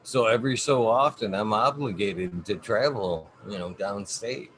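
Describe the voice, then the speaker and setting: A man's voice: lowish voice, normal speed, fairly bright tone, poor audio. Laid back guy rambling on a call, bit of hiss behind him. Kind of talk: casual chat.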